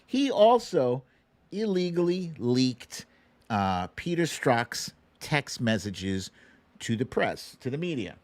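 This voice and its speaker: Animated man hosting a casual livestream. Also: medium pitch, slow pace, dry recording. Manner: sarcastic, indignant, profane. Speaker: livestream host. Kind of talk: casual political commentary to a live chat.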